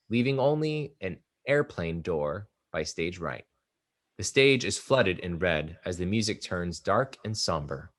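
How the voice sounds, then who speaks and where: A man narrating the stage directions of a play in a measured table-read style. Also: low voice, a bit bright. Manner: measured, descriptive.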